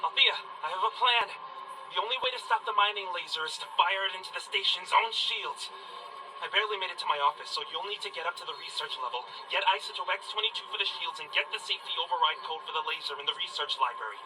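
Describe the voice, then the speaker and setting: An actor playing an anxious scientist giving hurried, urgent instructions in a game audio log. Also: male voice, sits high, animated. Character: urgent, anxious male scientist on space station. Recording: distant, noisy radio-like log.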